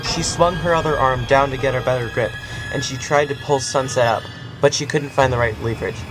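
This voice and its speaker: A man reading a dramatic story aloud in steady, measured narration. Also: mid-range voice, rough recording. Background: faint music.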